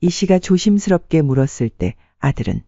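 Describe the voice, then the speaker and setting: A man narrates a family story like an audiobook, measured and scripted. His voice sits a little high with a very mellow, dark tone, recorded dry and close. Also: very animated, vocal fry, dry recording, muffled recording.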